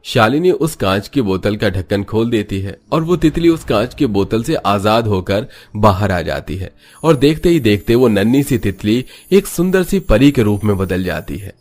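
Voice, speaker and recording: A man's voice: fairly low pitch, very animated, normal speed, hardly any pauses, a little mellow. A man giving scripted narration for a cartoon moral story. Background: background music.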